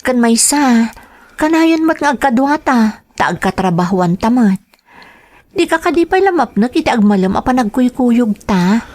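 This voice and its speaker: A woman's voice: a little high, lively intonation, moderate pace, a little mellow. An actress with an Ilocano accent playing a dutiful young working woman in a radio drama, earnest and pleading.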